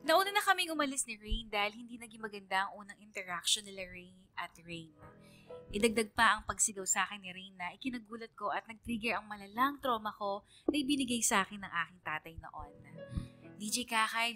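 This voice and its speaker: A woman's voice: medium pitch, fairly quick. A woman with a Filipino accent, a radio DJ narrating a scripted first-person story. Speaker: radio DJ, narrator of listener stories. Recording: loud guitar music behind. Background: acoustic guitar music.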